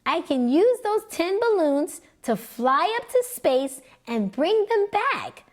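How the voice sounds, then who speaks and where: An excited little boy with a high, bright voice, eager and full of wonder, as a woman reading aloud puts him on. His lines jump up in pitch and swing widely. He is a storybook child who is always keen to go on an adventure. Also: slow, heavily acted. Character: eager curious young boy.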